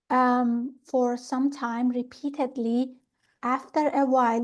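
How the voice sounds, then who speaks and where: A middle-aged woman from Iran calmly explaining pranic healing ideas in an interview, pausing often with fillers and restarts. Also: sits high. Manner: calm, thoughtful, with many fillers and restarts.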